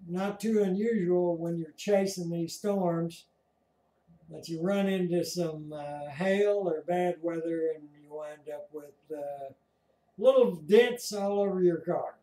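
A man giving casual, halting running commentary as a radio hobbyist, recorded indoors in his radio room. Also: sits high, dark tone. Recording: roomy echo.

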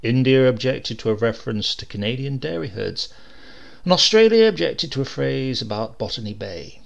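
Lively gravelly male story reader. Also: mid-range voice, normal speed, lo-fi sound. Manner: lively, expressive reading with varied character voices. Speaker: audiobook reader. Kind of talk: scripted narration of a comic short story.

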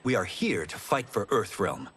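A man's voice: fairly low pitch, moderate pace, a little hiss or hum. An actor playing an earnest young male fighter, a farm boy, in a video game cutscene. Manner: sincere, calm.

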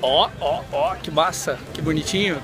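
A man's voice: fairly high pitch, normal speed. Upbeat Brazilian man vlogging in a park. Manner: chatty, excited, lots of "né?" tags. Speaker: vlogger host. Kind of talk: upbeat vlog chat. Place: outdoors in a city park. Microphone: phone at arm's length.

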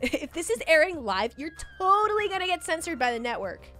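A woman's voice: extremely high pitch, sing-song, brisk, bright, forceful tone, faint music behind, full character voice. A woman voicing a hyper, dramatic teenage girl from a visual novel, theatrical and exclamatory. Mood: scandalised.

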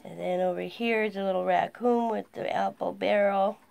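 A hoarse woman with a cold, chatting casually as she walks through her planner pages item by item. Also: mid-range voice, steady tone, normal speed, a little mellow, no room sound. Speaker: planner vlogger. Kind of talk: casual show-and-tell narration. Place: indoors at a craft desk. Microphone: camera pointed down at the desk, speaker off-screen.

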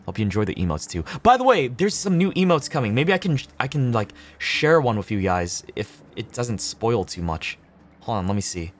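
Deep-voiced young man streaming games. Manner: slangy, sweary, laid-back. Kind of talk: casual livestream gameplay chat.

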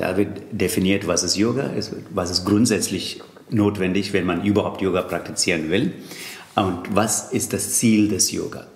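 Tamil-accented guy explaining yoga stuff slowly, lowish voice, roomy. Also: darkish tone. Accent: Tamil-accented German. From Tamil Nadu, India. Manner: thoughtful, explanatory, hesitant with fillers. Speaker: yoga teacher and Sanskrit scholar. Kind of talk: interview answers.

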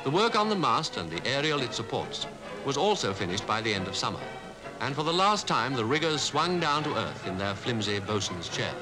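A man with a British Received Pronunciation accent reading scripted 1950s newsreel narration in a formal, measured way. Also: medium pitch, sing-song, loud music behind the voice, rough recording. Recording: 1950s newsreel archive soundtrack.

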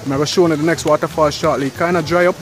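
A man's voice: on the high side, fairly even intonation, very fast. A young Jamaican man vlogging on a rural road into a handheld phone, chatty and calling his viewers peeps and guys. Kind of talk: walking vlog and street interview. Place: outdoors on rural hillside road. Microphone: handheld phone camera. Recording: outdoor phone audio with clear background noise.

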